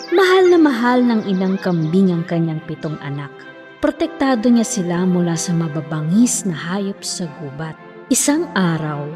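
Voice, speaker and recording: Isang babaeng nagsasalaysay ng nakasulat na kuwentong pambata, may alingawngaw at mahinang musika sa likod. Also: female voice, mid-range voice. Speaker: storyteller. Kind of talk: scripted children's fairy tale narration. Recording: long reverb, faint music behind.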